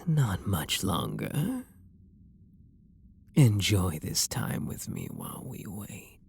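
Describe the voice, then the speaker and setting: A man with a British accent playing a refined, possessive and seductive vampire lord in an intimate ASMR roleplay, speaking softly and coaxingly with a breathy, husky voice. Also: sits low, huge pitch swings, a little hiss or hum, heavily acted. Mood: flirtatious, hungry. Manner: soft, coaxing, flirtatious, unhurried.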